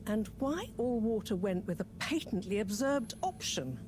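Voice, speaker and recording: An actress playing an impatient, exacting female professor and scientist who talks in a crisp, precise way. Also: mid-range voice, lively intonation, normal speed, darkish tone, a little hiss or hum, natural voice. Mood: suspicious.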